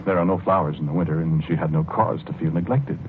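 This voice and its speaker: An actor playing a gentle husband obsessed with his flowers, speaking haltingly and earnestly in 1940s radio drama style on a muffled old recording. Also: a bass voice, background noise. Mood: wistful. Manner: halting, earnest, repeats phrases.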